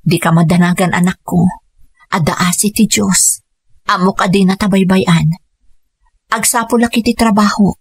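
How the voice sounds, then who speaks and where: A woman playing an abandoned mother in a radio drama, speaking in a steady, earnest way. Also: mid-range voice, moderate pace, pauses often, breathy edge. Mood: resolute. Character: abandoned mother, resolute and weary.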